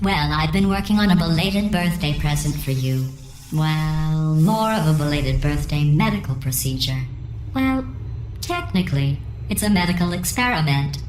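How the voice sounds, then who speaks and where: Low, synthetic, passive-aggressive female AI. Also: moderate pace, echoey room, a little hiss or hum, rough recording. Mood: deadpan mockery. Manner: deadpan, sarcastic, falsely sweet. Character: female artificial intelligence running tests, passive-aggressive, coldly cruel. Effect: synthetic robotic processing. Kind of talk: video game character dialogue.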